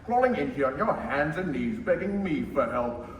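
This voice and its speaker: A young man playing a cruel, gloating old banker in a stage radio play, pompous, deliberate and sneering. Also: on the high side, big pitch swings, highs cut off. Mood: contemptuous. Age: teenager or young adult. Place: school theater stage. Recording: echoey stage, distant mic, faint noise.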